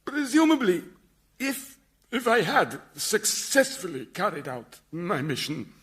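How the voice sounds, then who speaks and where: A naive young male zealot in a radio sitcom, with a high-pitched voice. He sounds earnest, hesitant and plaintive, as if his devotion is wobbling. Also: sing-song, measured pace, fairly bright tone, full character voice. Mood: hesitant, deflated. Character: earnest, gullible, tearful young male would-be martyr.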